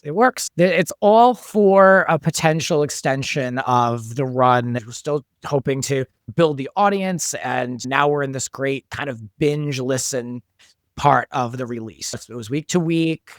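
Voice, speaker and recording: A man speaks casually as a creator being interviewed about his production work. His pitch is middling. The recording is close and dry, with little room sound. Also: lively intonation, a little slow, dry recording. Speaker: audio drama creator, interview guest.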